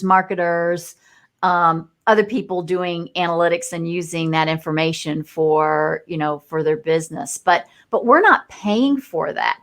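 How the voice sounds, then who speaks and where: A woman's voice: lowish voice, a bit bright. A woman guest in a live discussion, explaining her views in a thoughtful, rambling way with many fillers and restarts. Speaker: guest entrepreneur.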